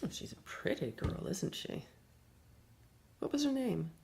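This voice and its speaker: An actress playing a haughty, controlling, condescending wife in an audio drama. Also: female voice, mid-range voice, big pitch swings, measured pace, gravelly, darkish tone, a little hiss or hum. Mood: coolly gracious.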